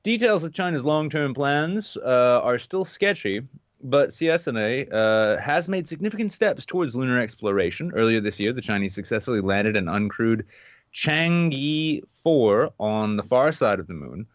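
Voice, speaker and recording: A man hosting a podcast with casual, comedic news commentary in an irreverent, sarcastic, animated manner. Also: medium pitch, normal speed, no room sound, dull audio. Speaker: podcast host.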